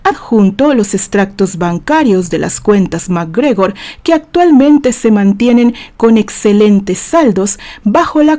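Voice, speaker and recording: A woman's voice: medium pitch, dark tone, close to an everyday voice. A woman voicing a composed male defense lawyer in an audio novel, questioning formally and pointedly. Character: calm, incisive male defense lawyer interrogating in court.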